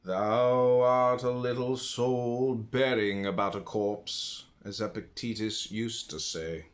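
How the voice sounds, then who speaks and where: A man reads philosophy aloud as a narrator, phrasing it deliberately and emphatically with pauses. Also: medium pitch, fairly even intonation. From United States. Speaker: audiobook reader.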